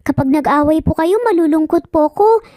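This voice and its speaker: A woman's voice: high-pitched, moderate pace, dark tone, no echo, clean studio sound, pitched above the performer's own voice, close to an everyday voice. A woman voicing a sweet, earnest small child with polite, pleading manners in a dramatised story. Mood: worried, pleading.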